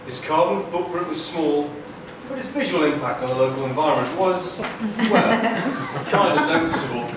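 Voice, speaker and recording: High-voiced man narrating in an echoey hall. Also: animated, moderate pace. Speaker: puppet show narrator. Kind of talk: live storytelling narration for children. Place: live puppet theatre show. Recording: noisy echoey hall, far from mic.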